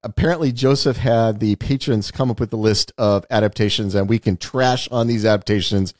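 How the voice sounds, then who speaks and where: A man's voice: lowish voice, brisk, darkish tone, no echo. A man hosting a podcast with casual talk and questions, delivered with dry, blunt humor. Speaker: podcast host.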